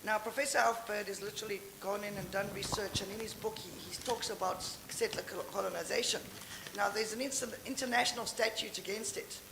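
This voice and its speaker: A woman in the audience making a comment, loud and emphatic, with self-deprecating asides. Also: mid-range voice, steady tone, a little fast, creaky. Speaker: audience member. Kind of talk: comment from the floor. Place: conference hall. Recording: echoey hall with audible noise.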